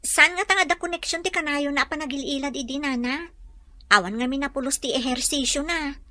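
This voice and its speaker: A woman's voice: high-pitched, brisk, pushed and bright. A woman playing a frank young village woman sharing news in an Ilocano audio drama. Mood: concerned.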